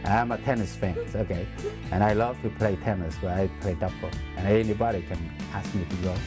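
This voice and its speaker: Low-voiced man, casual and offhand. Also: steady tone, brisk. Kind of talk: documentary interview. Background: guitar music.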